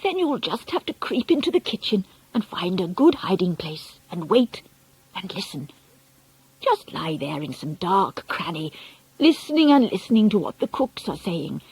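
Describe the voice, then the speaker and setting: A woman voicing a feisty, doting old grandmother in an audiobook story, speaking deliberately and with emphasis. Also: on the high side, big pitch swings, breathy edge, no room sound, rough recording. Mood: doting, scheming.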